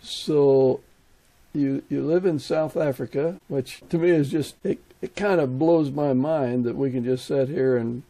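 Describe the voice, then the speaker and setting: A man's voice: mid-range voice, fairly even intonation, a little slow, warm tone. A man hosting a podcast, asking questions in a conversational interview style. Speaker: podcast host.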